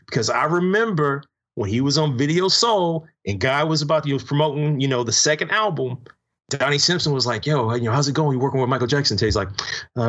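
A man co-hosting a casual music podcast, telling stories with emphatic, drawn-out points. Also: on the high side, fairly bright tone. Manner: emphatic, drawn-out listing, storytelling. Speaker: podcast co-host. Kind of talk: casual chat.

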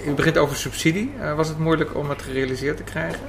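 A man's voice: a little high, steady tone, fast talker, gravelly. A man interviewing someone informally, putting curious, probing questions. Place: café. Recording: faint background room noise.

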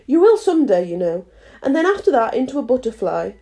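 Woman as a high-voiced, polite storybook girl. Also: sing-song, fairly quick, warm tone. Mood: polite, earnest. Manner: polite, hesitant, earnest explaining. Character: shy, polite, confused young girl, easily irritated.

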